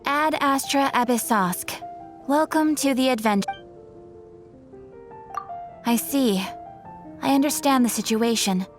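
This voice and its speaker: Polite female receptionist, slightly high voice, measured, formal, businesslike, video game dialogue, soft background music. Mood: courteous. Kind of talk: video game character acting. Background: light music.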